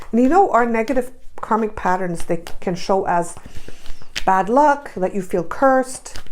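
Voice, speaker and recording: Woman tarot reader with a sore throat. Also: medium pitch. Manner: emphatic, repetitive, with soft hushed stretches when guiding. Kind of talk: intuitive tarot reading and guided visualization.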